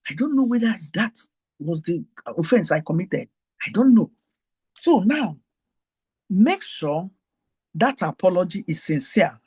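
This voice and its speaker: A woman's voice: sing-song, a little slow, pauses often, darkish tone, slightly rough. A woman with a Nigerian accent hosting her show, giving a teaching talk mixed with personal stories and repeating her main points to listeners on a group call. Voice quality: high-pitched. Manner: digressive, repeats key phrases, talks straight to listeners. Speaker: host.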